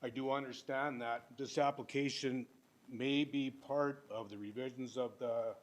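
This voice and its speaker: Older man, plainspoken, even pitch, podium mic in a council chamber. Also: unhurried. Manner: plainspoken, booming at times. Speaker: applicant at council hearing. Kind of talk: public hearing presentation. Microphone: podium microphone.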